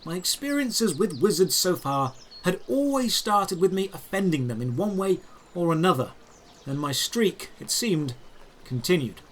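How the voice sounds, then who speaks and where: A man narrating a scripted first-person fantasy adventure in a dramatic, deliberate, descriptive way. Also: a little high, a bit bright, slight background noise.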